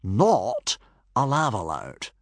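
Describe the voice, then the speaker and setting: A man's voice: medium pitch, big pitch swings, moderate pace, fairly bright tone, no echo, studio quality. A man voicing a self-important middle-aged Viking hero, breezy, protesting and easily exasperated, in a storybook. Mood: triumphant.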